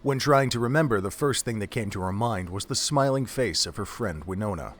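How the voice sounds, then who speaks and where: A man's voice: on the low side, expressive, brisk. A man reading scripted third-person narration as the storyteller of an audio drama.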